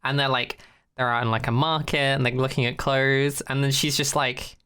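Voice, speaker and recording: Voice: medium pitch, little pitch movement, moderate pace, a bit bright, clear, resonant tone. A podcast host chatting casually in a rambling, informal way, swearing freely and sounding amused.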